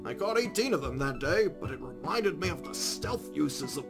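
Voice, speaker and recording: A gravelly older male scientist, acted out by a man for a visual novel game. He is nerdy and pedantic, easily indignant, and his pitch swings widely as he explains, with music underneath. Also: very animated. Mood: earnest reminiscing. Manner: pedantic, halting storyteller. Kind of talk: visual novel fan voice acting.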